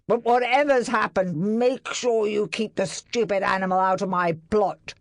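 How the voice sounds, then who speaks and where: A woman's voice: medium pitch, steady tone, normal speed, gravelly, no echo, pitched above the performer's own voice, close to an everyday voice. Lady doing a snooty sneering neighbour guy, bossy, dry sound. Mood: haughty. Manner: sneering, demanding. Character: sneering haughty man, rude neighbour.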